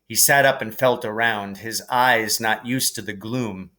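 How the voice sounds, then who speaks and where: Slow-paced male storybook narrator. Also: on the low side, fairly even intonation, sharp tone. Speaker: teacher reading aloud.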